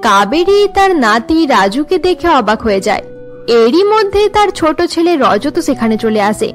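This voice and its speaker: A woman narrator reads a scripted cartoon story. Her voice is high-pitched, and music plays clearly underneath. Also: sing-song, moderate pace.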